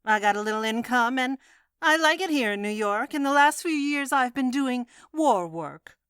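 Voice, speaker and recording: An actress as a tart American mademoiselle in a radio mystery. Her voice is high, bright and crisp, with a brisk, indignant manner that will not be pushed around. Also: lively intonation, no room sound, studio quality. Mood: defensive. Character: feisty, indignant, unflappable American single woman. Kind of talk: old-time radio mystery acting.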